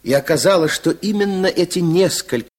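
A man's voice: high voice, animated, brisk. A man narrating a children's fairy tale as a storyteller in a radio play. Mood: storytelling.

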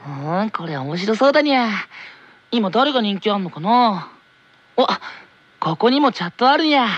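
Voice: mid-range voice, very animated, normal speed, no room sound, lo-fi sound, faint music behind. An actor voicing a cheerful, curious teenage boy in an anime radio drama, ending sentences with cutesy cat-like "nya". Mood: curious, playful.

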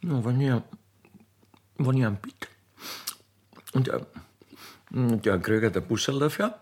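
A man voicing a naive, chatty railway keeper's daughter with an Austrian accent in a radio play, halting and repeating words eagerly. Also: mid-range voice, slight background noise, lightly acted. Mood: dreamy, admiring. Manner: halting, repetitive, rustic and eager. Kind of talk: radio play character acting.

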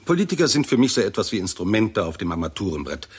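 A blunt, skeptical scientist in a 1960s radio play. His voice is fairly low and husky, and he speaks in a dry, sardonic, precise way. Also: sing-song, fast talker. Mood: dry, scornful. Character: blunt, skeptical British scientist, scornful of politicians. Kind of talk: 1960s German radio drama acting.

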